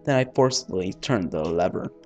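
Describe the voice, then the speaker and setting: A man's voice: sits low, expressive, moderate pace, mellow, faint music behind. A man hosting a let's-play, reading a visual novel aloud with casual asides and the odd stumble over words.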